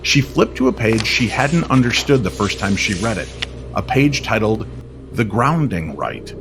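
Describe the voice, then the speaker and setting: A man narrating an eerie scripted story in an old-time radio style, measured and suspenseful. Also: fairly low pitch, animated, background noise.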